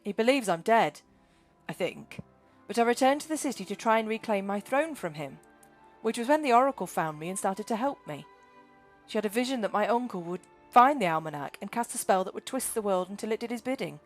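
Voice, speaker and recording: A woman's voice: mid-range voice, expressive, fairly quick, soft background music, close to an everyday voice. A woman voicing a scrawny young boy, frightened and earnest. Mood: urgent.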